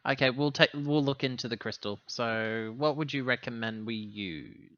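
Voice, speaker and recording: A man's voice: mid-range voice, moderate pace, a bit bright, highs cut off. A man chatting casually as a player in a tabletop game, talking through his moves in a wordy, roundabout way.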